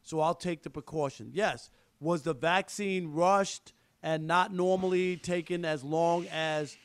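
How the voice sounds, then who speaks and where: A man in his fifties talking casually and haltingly as a sports radio host. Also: a little high, steady tone. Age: 50s. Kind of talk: casual talk radio discussion.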